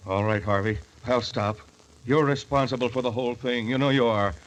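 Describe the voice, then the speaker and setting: An actor playing a whining, agitated condemned killer in a 1940s radio drama. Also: male voice, mid-range voice, fairly even intonation, a little fast, lightly acted. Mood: bitter, threatening. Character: desperate, whining condemned murderer, frightened then vengeful. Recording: very noisy, clicking 1940s radio recording.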